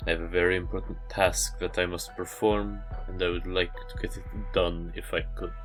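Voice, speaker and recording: A man's voice: deep voice, level tone, moderate pace, a bit bright, soft background music. A man playing a polite, evasive and restrained messenger in a fantasy audio drama. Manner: formal, restrained. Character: mysterious male messenger, polite, evasive, unflappable. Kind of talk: scripted fantasy audio roleplay.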